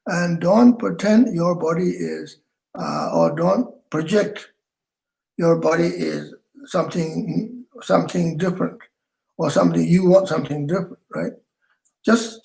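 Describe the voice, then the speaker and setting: Older guy teaching meditation, gravelly voice kinda high, lots of uh and restarts, video call from home. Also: darkish tone, rough recording. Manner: halting, with frequent fillers and restarts. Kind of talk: dharma talk.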